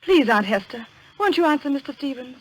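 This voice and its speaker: An actress playing an anxious young niece full of foreboding in an old-time radio drama, earnest and dramatic, on a noisy old recording. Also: female voice, high-pitched, expressive, fairly quick.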